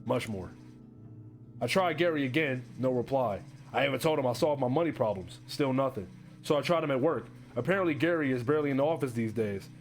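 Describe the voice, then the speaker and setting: A man's voice: medium pitch, expressive, fairly quick, soft background music, lightly acted. An actor playing a broke, desperate divorced man who narrates his analog horror story in a resigned, confessional, wry way. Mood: uneasy.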